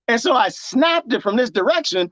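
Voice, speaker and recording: Lively joking man with a Texas accent. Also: extremely high pitch, no echo. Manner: animated and joking, laughs often, talks straight to his chat. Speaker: livestream host reading viewer comments. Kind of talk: casual chat with viewers. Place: garden, planting cuttings.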